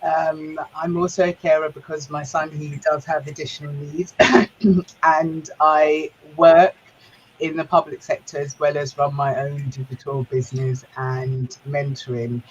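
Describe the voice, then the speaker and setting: A woman from Ghana, co-hosting a livestream chat, slightly hoarse, friendly and quick to laugh, stopping now and then to rest her voice. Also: sits low, flat delivery, slight background noise. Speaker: co-host. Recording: slightly dull lo-fi sound.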